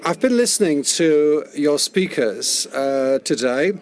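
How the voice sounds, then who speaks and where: A man works as a simultaneous interpreter at a conference, with a slightly high voice. He keeps close behind the speaker, restarting and rephrasing phrases as he goes. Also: big pitch swings, unhurried. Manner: rephrases and restarts while rendering speech.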